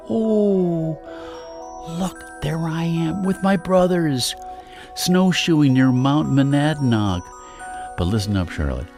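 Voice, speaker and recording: Exasperated older dad, creaky and animated. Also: male voice, a little high, music in the background. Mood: wistful, wry. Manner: halting, exclamatory, wry. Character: exasperated, worn-out older husband and father, wryly self-deprecating. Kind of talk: stage-comedy audio drama acting.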